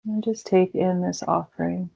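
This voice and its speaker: A woman leading a guided meditation in a slow, soothing way with gentle pauses. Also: on the low side, fairly even intonation, vocal fry, husky. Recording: dull lo-fi recording.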